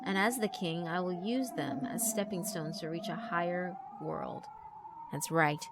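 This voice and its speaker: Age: teen. Figure: woman voicing a boastful boy bully who calls himself king. Tone: deep, cold. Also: measured pace, very noisy, natural voice. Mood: gloating. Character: arrogant teenage boy bully, self-proclaimed king. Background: background music.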